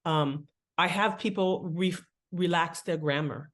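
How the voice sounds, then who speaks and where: A woman speaks as an interview guest at a middling pitch. She explains her points in an animated, conversational way, like an author talking through her craft. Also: unhurried. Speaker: guest, fiction writing author.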